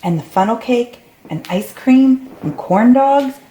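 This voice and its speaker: A woman's voice: on the high side, animated, measured pace, mellow. A woman reading a storybook aloud to young children. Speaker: storybook reader. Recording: roomy, echoey recording.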